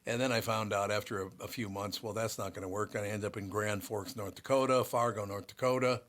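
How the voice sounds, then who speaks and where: A gruff, low-pitched older man from Minneapolis hosts a casual talk show. His voice is rough yet bright and forceful, and he rambles through stories with plenty of restarts. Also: fairly even intonation, a little fast. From Minneapolis, USA. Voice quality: gruff, somewhat harsh voice. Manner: rambling, storytelling, frequent restarts. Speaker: show host. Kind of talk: casual chat.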